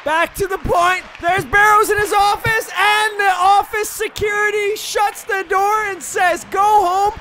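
High-pitched man shouting hockey calls over music. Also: unhurried, hardly any pauses, crowd noise. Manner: excitable, often shouting the play. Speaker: co-host. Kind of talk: joking amateur hockey commentary.

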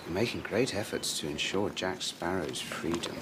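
Low-voiced, calculating official villain played by a man. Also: normal speed, very noisy, close to an everyday voice. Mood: cool, sardonic. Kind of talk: audio drama acting. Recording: loud music under the voice.

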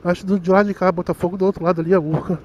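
High-voiced Rio man riding a motorcycle. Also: level tone, racing, darkish tone, highs cut off. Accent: Rio de Janeiro Portuguese. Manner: chatty, self-mocking, talks to viewers directly. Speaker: motovlogger. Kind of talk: casual running commentary while riding. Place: outdoors on a moving motorcycle in city traffic. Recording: faint wind and road noise. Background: faint wind and traffic noise.

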